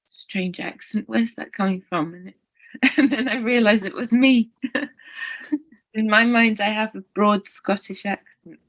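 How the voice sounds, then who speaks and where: A woman's voice: mid-range voice, measured pace, fairly bright tone, no echo, low-quality recording. A woman talking as a guest in a reflective conversation, thoughtful and with self-deprecating humor.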